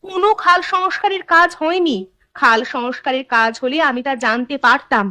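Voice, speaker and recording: A woman's voice: high voice, normal speed, pushed and bright, no echo. A woman playing the sensible, reproachful wife of a village headman in a cartoon, firm and admonishing. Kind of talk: Bengali cartoon voice acting.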